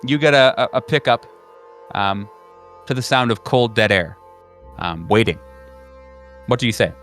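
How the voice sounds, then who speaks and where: A man narrating as game master of a horror tabletop game in a deliberate, halting, dramatic way. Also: mid-range voice, lively intonation, a bit bright, faint music behind.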